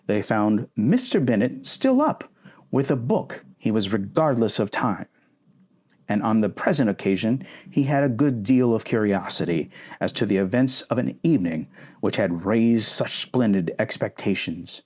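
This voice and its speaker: A man reading a novel aloud in an expressive ASMR boyfriend roleplay style. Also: fairly low pitch, moderate pace, slightly rough.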